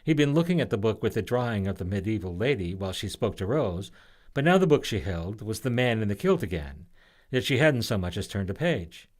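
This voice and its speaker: Storybook narrator: male, lower-pitched, lively and varied delivery. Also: brisk. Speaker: author reading own story.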